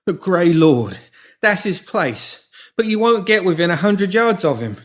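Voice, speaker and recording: A seedy pimp played by a male narrator pleads in a high, strained voice pushed well up from normal speech. He babbles breathlessly and loudly, desperate and in pain, like a cornered crook in an audiobook thriller. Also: very animated, unhurried. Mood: desperate, babbling.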